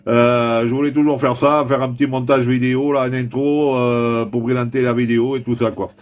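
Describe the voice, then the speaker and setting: A man's voice: medium pitch, level tone, fairly quick. Male radio hobbyist, casual and rambling conversational delivery. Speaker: CB radio operator. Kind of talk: casual amateur radio chat.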